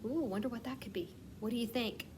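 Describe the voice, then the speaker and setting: A woman reading a picture book aloud like a kindergarten teacher, stressing words expressively and putting questions to the children. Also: on the high side. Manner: asks questions and pauses as if children answer; expressive storytelling with slowed, emphasised words. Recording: clear background noise.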